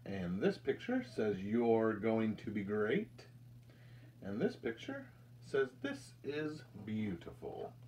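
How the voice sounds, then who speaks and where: Slow, lively American man reading to children. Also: lowish voice, slight background noise. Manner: slow, deliberate, expressive storytelling delivery. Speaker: children's ministry storyteller. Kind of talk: reading a picture book aloud to children. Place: indoors, small room with curtain backdrop.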